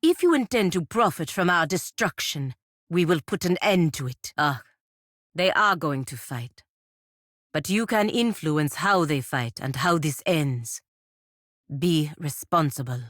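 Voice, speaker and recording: A low-pitched stern game warrior woman, proud and dutiful. She speaks formally and with measured weight, as if every word is counsel or admonishment. The sound is dry and studio-clean. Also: expressive, no echo. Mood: stern warning, then disapproving. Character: proud, stern warrior knight sworn to her republic, woman. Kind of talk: video game character dialogue.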